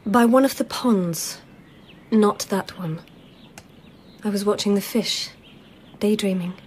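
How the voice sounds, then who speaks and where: An actress playing a shy, dreamy palace lady in a radio drama, with a soft, hesitant delivery. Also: medium pitch, slow pace, a little hiss or hum, close to an everyday voice.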